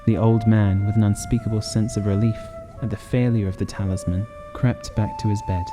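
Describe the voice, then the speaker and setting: A man's voice: fairly low pitch, little pitch movement, a little fast, over music. A man narrating a horror audiobook in a dramatic, scripted reading style.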